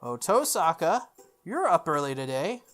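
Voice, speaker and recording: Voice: mid-range voice, very animated, normal speed, fairly bright tone. A game player voicing a frank, tomboyish teenage schoolgirl from a visual novel, blunt and friendly, with music behind. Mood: breezy, teasing. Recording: music under the voice.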